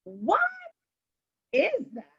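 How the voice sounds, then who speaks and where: A woman's voice: drawn-out words, dark tone. A woman guest reader on a children's story show, reading a picture book aloud with expression. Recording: muffled lo-fi audio.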